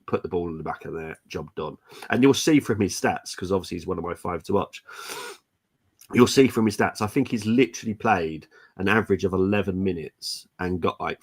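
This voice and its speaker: Low-pitched man, congested with a cold. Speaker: football podcast host. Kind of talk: casual live-stream chat.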